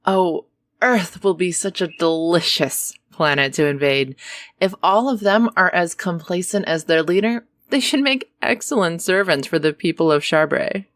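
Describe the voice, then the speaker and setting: Woman acting a low-voiced, haughty alien warrior queen. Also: expressive, normal speed, dry recording, close to an everyday voice. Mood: mocking. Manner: taunting, imperious. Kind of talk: audio drama acting.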